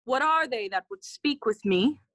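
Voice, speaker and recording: A woman's voice: a little high, lively intonation, a little slow, a bit bright, a little hiss or hum. A woman playing an earnest, loyal young scholar, reading dramatically in a classical audio play. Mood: earnest, intent.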